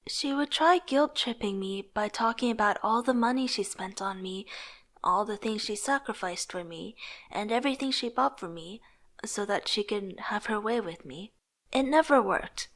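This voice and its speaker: A woman's voice: medium pitch, normal speed, a bit bright, lightly acted. A woman playing a rebellious, wistful young artist who tells her own life story in earnest scripted narration and dialogue. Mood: reflective, wistful. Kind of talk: scripted first-person narration with acted dialogue.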